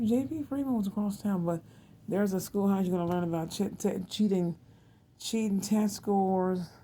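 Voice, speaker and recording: An American speaker in their thirties with a very dark, mellow tone and plenty of gravelly fry. The delivery is a casual, wandering monologue to viewers, full of repeated phrases and side trips. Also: mid-range voice. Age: 30s. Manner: repeats words and phrases, digressive. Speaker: tarot and psychic reading video host.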